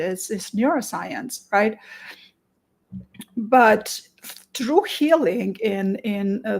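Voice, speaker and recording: Romanian-accented woman therapist, gravelly edge, earnest halting delivery. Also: medium pitch. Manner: earnest, many restarts, stretched pauses. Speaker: therapist and conference presenter. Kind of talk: promotional talk to camera.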